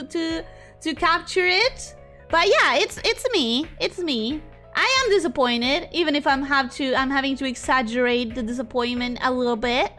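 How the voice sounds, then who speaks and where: A young woman streamer chatting casually with viewers, voice swinging from high squeals down to low mutters. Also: pushed and bright. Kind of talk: casual chat reading viewer messages.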